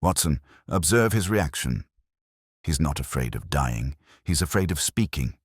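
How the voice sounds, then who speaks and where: A man voicing a coolly logical, commanding Victorian gentleman detective in an audiobook, deliberate and formal. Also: very deep voice, sing-song, husky, no room sound, clean studio sound, heavily acted. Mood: shrewd, deliberate. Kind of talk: audiobook character dialogue.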